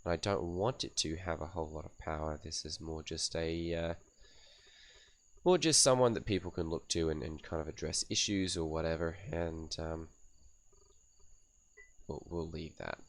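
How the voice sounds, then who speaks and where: A man hosting a gaming channel, raspy and coughy from a cold, casually thinking aloud as he reads out and fills in game settings one by one. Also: very deep voice, normal speed, long pauses. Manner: thinks aloud while reading out menu settings. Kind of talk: casual game setup commentary.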